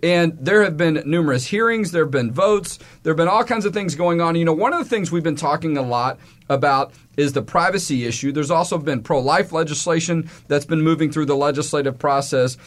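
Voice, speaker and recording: A man's voice: on the high side, fairly quick. A man hosting a studio talk show, speaking in a friendly, chatty, folksy way into a studio mic. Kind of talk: casual studio interview. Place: radio studio.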